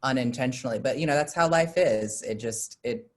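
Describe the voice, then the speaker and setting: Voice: medium pitch, brisk. A person chatting casually and hesitantly on a video call, rambling a little while moderating questions. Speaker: moderator and theater collaborator. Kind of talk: casual chat.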